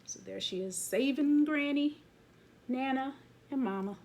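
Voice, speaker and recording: A woman reading a children's picture book aloud as a teacher would, expressively, pausing to comment to young listeners. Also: sits high, a little mellow, a little hiss or hum.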